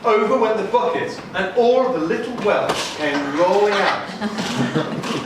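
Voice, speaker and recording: A man's voice: sits high, animated, slow pace. A man narrating a live puppet show for children as its storyteller. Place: large room, live audience. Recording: echoey hall, far from mic, noisy.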